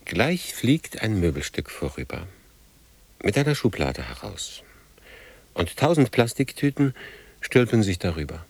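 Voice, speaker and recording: A haunted war survivor, half drunk and defiant, in a poetic radio drama. His voice is lowish and husky. He swings erratically between breathy murmurs and animated outbursts. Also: measured pace, no room sound, full character voice. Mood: visionary, brooding.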